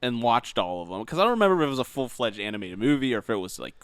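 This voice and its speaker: A young man co-hosting a podcast, chatting casually about games in an excitable, slangy way. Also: mid-range voice, racing, clear, resonant tone, no room sound. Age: young adult. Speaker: podcast co-host. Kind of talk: casual gaming chat.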